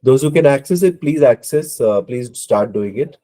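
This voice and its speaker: A man's voice: medium pitch, animated, moderate pace, dark tone. A man with a cold teaching an online exam-prep class, hesitant, with many fillers and apologies. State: hoarse from a cold. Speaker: IELTS instructor.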